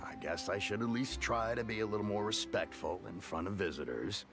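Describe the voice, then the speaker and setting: A man playing an easygoing, chatty townsman in a video game, with a breezy, expressive manner. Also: fairly low pitch, a little fast, lightly acted. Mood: sheepish. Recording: background music under voice.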